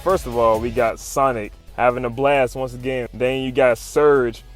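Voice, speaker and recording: A man hosts an excited comic recap in a mid-range voice. He talks informally, with slang, swearing and exclamations. Faint music plays underneath. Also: medium pitch, normal speed. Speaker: video host reviewing comics.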